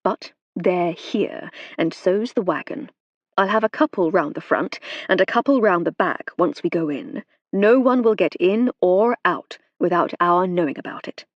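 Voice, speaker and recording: A woman voicing a practical, measured male police inspector who speaks plainly. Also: on the low side, expressive, dry recording, lo-fi sound, close to an everyday voice. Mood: matter-of-fact. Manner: plain, measured and matter-of-fact.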